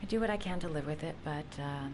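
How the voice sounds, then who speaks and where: A woman's voice: deep voice, fast talker, background noise, lightly acted. A woman playing a guarded, caring young woman in a cinematic video game, speaking with hesitant concern. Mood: hesitant, troubled.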